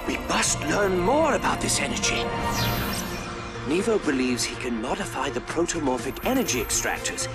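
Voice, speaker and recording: A man's voice: fairly high pitch, very animated, normal speed. An actor playing an earnest, eccentric scientist who narrates his diary in a video game with grandiose, dramatic precision. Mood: earnest wonder. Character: earnest, theatrical, eccentric male scientist doctor. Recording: loud music behind.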